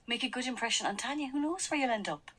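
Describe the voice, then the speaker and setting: An actress in a radio drama playing a practical, gently teasing woman who works alongside her partner. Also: fairly high pitch, lively intonation, fast. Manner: teasing, matter-of-fact.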